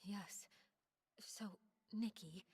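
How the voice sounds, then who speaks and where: Breathy, refined, elegant lady. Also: medium pitch, extremely slow, darkish tone, exaggerated voice. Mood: pensive. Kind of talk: video game voice acting.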